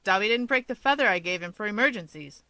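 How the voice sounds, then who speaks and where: Woman doing a cocky, bright-voiced young male hero. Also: mid-range voice, expressive, quick pace, dry recording, rough recording. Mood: smug, casual. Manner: flippant, wry.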